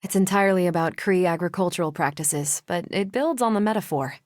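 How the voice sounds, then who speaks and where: A woman's voice: lowish voice, fairly quick, darkish tone, no room sound, lightly acted. Actress as young American superhero woman, video game hero, confident, wry, casual banter, upbeat leader, very dry studio sound. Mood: dry, amused.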